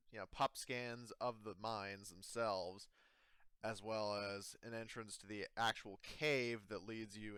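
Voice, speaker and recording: A man improvising tabletop game narration as game master in a casual, halting, self-correcting way. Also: fairly low pitch, fairly bright tone, smooth, no room sound.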